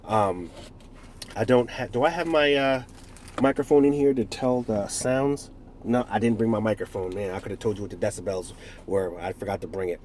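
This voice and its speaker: A man's voice: on the low side, normal speed, darkish tone, a little hiss or hum. A man in his forties from the United States with a stuffed-up nose from a cold, casually reviewing a product in a rambling, self-correcting way with jokey asides. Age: 40s.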